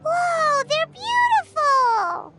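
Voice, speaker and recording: Tiny squeaky fairy mascot, super bouncy intonation, anime game, music behind. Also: female voice, huge pitch swings, very slow, exaggerated voice. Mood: amazed. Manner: refers to self in third person, exclaiming. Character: tiny cheeky floating fairy companion, childlike and excitable.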